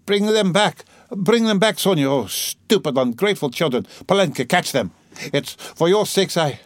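Man voicing a frantic, haughty, high-pitched gentlewoman. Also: lively intonation, brisk, dry recording, clean studio sound, natural voice. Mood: alarmed, screaming. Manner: lofty, wailing, rambling, abruptly switching to scolding. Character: distraught, haughty, ailing impoverished gentlewoman, frantic and scolding. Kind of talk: dramatised audiobook character reading.

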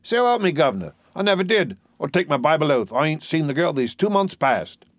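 A man voicing an elderly working-class dustman in a play reading, expressive, rhetorical and wheedling. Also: a little high, brisk, dry recording, clean studio sound, pitched above the performer's own voice. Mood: earnest, wheedling. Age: older.

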